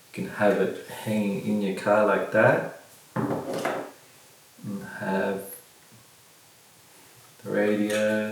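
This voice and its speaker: Echoey, low-voiced man, unhurried. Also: gravelly. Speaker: product reviewer. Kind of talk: casual unboxing demonstration. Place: small bare indoor room at a table. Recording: very echoey room, distant mic, faint noise.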